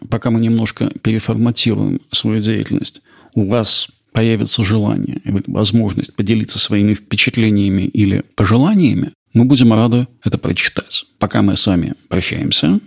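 A man's voice: lowish voice, gravelly, slightly rough. A man hosting a history podcast, narrating in an explanatory way with rhetorical questions. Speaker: podcast host.

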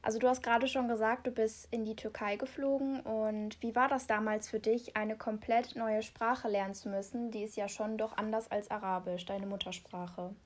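Female interviewer, slightly high voice, careful and summarizing in her questions. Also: fairly even intonation, moderate pace, few pauses.

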